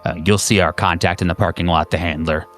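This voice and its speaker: A man acts out a covert agency dispatcher in a horror roleplaying game, pitched very low. He is calm and official, choosing his words with measured care. Music plays behind him. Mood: calm, businesslike.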